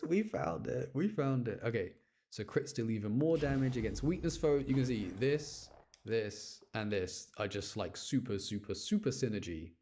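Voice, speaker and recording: A man's voice: medium pitch, expressive, normal speed, mellow, slight background noise. A man with a British accent giving casual, chatty live gameplay commentary, thinking aloud as he plays. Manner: chatty, informal, thinks aloud while playing. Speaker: game streamer. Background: music behind the voice.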